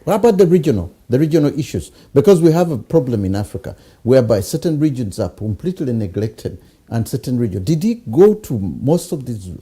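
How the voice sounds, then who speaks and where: A man's voice: medium pitch, sing-song, a little fast, mellow, husky. A man from Uganda, asking long, searching questions in a conversational interview and often murmuring agreement. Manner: searching, hesitant, frequent backchannel agreement.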